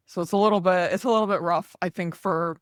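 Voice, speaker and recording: A podcast host whose first language is Russian, explaining in a measured, chatty way during a casual interview. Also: medium pitch, fairly even intonation, dry recording, studio quality.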